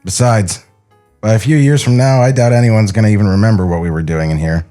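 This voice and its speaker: A man's voice: fairly low pitch, a little fast, dark tone, faint music behind, lightly acted. A man playing a sentimental cartoon animator reading his letter home as a film script voiceover. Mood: wistful, sincere.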